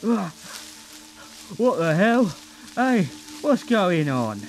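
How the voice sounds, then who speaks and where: A working-class gardener acted by a man in an audio drama. He is blokey and very slow in his phrasing, with faint music behind him. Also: high voice, sing-song, full character voice, rough recording. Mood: alarmed, defiant. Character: chatty, startled working-class English gardener.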